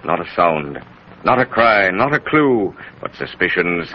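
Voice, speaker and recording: A man acting as a solemn, ominous mystery narrator, dramatic and deliberate, in 1930s radio style. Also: deep voice, very animated. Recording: muffled, noisy 1930s radio recording.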